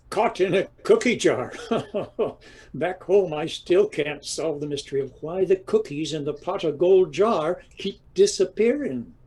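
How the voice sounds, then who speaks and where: A man's voice: fairly high pitch, lively intonation, unhurried, a little mellow, breathy edge. An actor playing a genial, slightly dim Irish businessman who talks in a hesitant, folksy way. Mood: amused. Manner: hesitant, folksy, easily amused.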